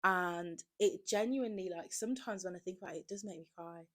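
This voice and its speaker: Low-pitched young woman, candid. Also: fairly quick, fairly bright tone. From Birmingham area, England. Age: 20s. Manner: candid, conversational, heavy use of "like". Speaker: podcast host. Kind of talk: casual confessional monologue.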